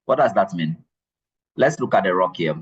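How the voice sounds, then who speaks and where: Male lecturer, low and breathy, patient and repetitive classroom delivery. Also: lively intonation, normal speed, lo-fi sound. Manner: repeats key points, checks in with "all right?". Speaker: geology lecturer.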